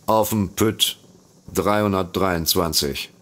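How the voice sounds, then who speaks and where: An actor playing a dry, unimpressed, businesslike detective in a comedic audio drama, deadpan and sardonic. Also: male voice, sits low, takes it slowly, full character voice. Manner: deadpan, sardonic, matter-of-fact.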